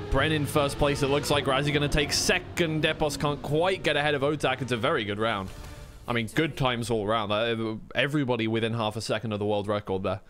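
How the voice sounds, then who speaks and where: A man doing live racing game commentary as a caster, mixing play-by-play with informal chat and thinking aloud. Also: mid-range voice. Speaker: esports caster on a co-stream. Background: racing game car sounds.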